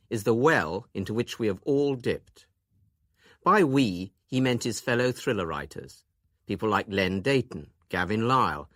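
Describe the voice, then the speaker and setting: A man's voice: mid-range voice, a bit bright, no echo, clean studio sound. A man presenting a radio documentary, reading a scripted narration.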